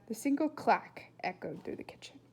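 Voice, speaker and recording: A woman narrating a children's novel as an expressive read-aloud. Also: fairly high pitch, normal speed, vocal fry, slightly rough, in a whisper. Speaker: storybook reader.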